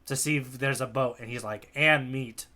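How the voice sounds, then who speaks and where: A man's voice: medium pitch, fairly even intonation, normal speed, sharp tone. A man co-hosting a podcast in informal, casual chat, circling around his point with repeated words. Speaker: podcast co-host.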